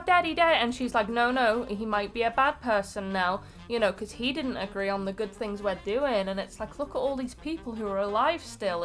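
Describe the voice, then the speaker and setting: A woman's voice: on the high side, a bit bright. A woman co-hosting a casual chat, speaking bluntly and swearing freely. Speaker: co-host.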